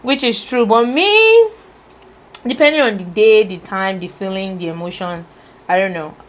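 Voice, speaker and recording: A woman's voice: medium pitch, expressive. A woman vlogger reading out tag questions in a casual, teasing chat with her husband. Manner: playful, teasing, drawn-out musing.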